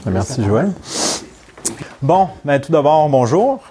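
A man's voice: fairly high pitch, big pitch swings, slow pace, background noise. A young man from Montreal with a Québécois French accent and English as his first language, opening a training course in a chatty, informal way and checking in with his class. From Montreal, Quebec, Canada. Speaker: neurofeedback trainer.

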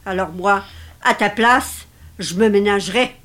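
A woman's voice: medium pitch, measured pace, fairly bright tone, natural voice. An actress playing an elderly, possessive mother, theatrical and self-pitying, in a dramatic script reading. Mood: scolding. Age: older. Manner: theatrical, reproachful, martyr-like.

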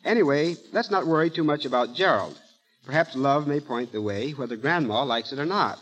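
A man's voice: medium pitch, lively intonation, brisk, fairly bright tone, poor audio. A man speaking as a guest professor in a scripted 1930s radio talk, precise, didactic and formal.

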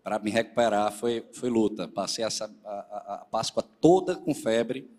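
A man, a presbyter leading a church service, a little nasal and hoarse from a cold, speaking earnestly to the congregation. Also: lowish voice, normal speed, darkish tone, big-room sound. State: still a bit hoarse after the flu. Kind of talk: sermon with scripture reading.

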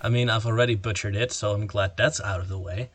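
A man's voice: on the low side, steady tone, fast talker, a bit bright, husky. A man hosting a casual interview, asking playful, joking questions. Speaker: podcast host.

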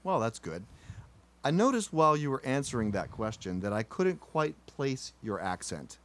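A man's voice: mid-range voice, very animated, normal speed, a bit bright. A man hosting a comedy podcast, asking questions in a dry, matter-of-fact way. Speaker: podcast host. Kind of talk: mock interview, dry comedy.

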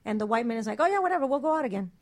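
A woman's voice: a little high, lively intonation, rapid-fire. A woman chatting casually and candidly as a panelist, blunt and emphatic, repeating herself to make a point. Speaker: talk show panelist.

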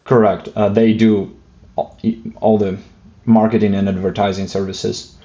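Russian-accented male guest, low monotone, halting, muffled. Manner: hesitant, many fillers and restarts, light joking. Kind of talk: casual interview talk.

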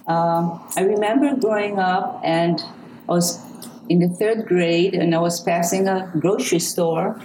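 A woman's voice: on the low side, fairly even intonation, dark tone, slight background noise, poor audio. An older woman from Hawaii with a Hawaiian accent, a poet reading her work and telling stories of her childhood in an interview. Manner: slow, measured, reflective storytelling. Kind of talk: poetry reading and interview answers.

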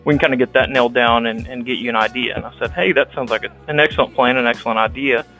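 A young man from Texas speaks with a Texan accent, his voice bright and forceful. He talks as an interview guest, halting often with restarts and ums, and faint music plays behind him. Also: mid-range voice, fairly even intonation, low-quality recording. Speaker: guest, rural health clinic director. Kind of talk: casual interview, telling his life story.

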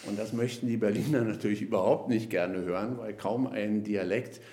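A man, a linguist, explaining at length in a podcast interview, measured and lecturing, with frequent hesitation fillers. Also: on the low side, steady tone, dark tone, roomy sound. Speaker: linguist guest.

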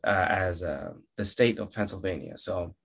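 A young man from Malawi talks as an interview guest in a loose, joking way. He laughs easily, restarts his sentences often, and speaks in a somewhat low voice. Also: moderate pace, gravelly, fairly bright tone, slightly rough. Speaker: actor and guest. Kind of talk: casual interview chat.

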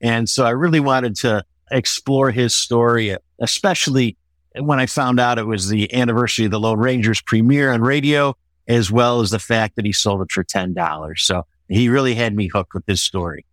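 Middle-aged or older man, American accent, author interview, measured explanatory delivery, average pitch, dry recording. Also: a bit bright, no room sound. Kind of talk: conversational interview answers.

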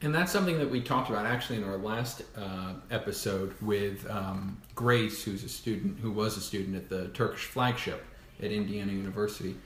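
A man's voice: fairly low pitch, fairly quick, fairly bright tone. A man co-hosting a casual podcast interview, talking in a loose, rambling, playful way. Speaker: podcast co-host. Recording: echoey room with faint noise.

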